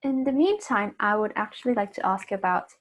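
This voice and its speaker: A woman's voice: medium pitch, brisk. A woman hosting a webinar on a video call, asking interview questions politely and hesitantly with many fillers. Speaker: webinar host.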